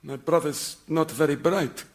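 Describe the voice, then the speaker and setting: An actor playing an excitable, naively curious and self-deprecating man, in radio sitcom style. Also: a little high, unhurried. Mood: amused, wry. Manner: questioning, excitable.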